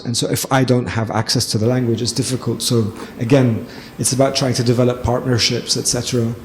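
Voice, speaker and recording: A man speaks at a panel talk, pausing often with ums and restarting his sentences as he thinks aloud. His voice sits at a middle pitch and rings around a reverberant hall, with a faint hiss underneath. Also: a little mellow. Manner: thoughtful, with frequent ums and restarts. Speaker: lecturer and panelist. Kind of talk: conversational talk answering a question. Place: event hall with audience. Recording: echoey hall, faint noise.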